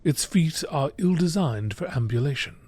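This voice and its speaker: A man reading scripted text as a narrator in nature documentary style, with a measured, matter-of-fact, formal delivery. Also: on the high side, lively intonation, a little mellow, slightly rough. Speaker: narrator reading out game lore.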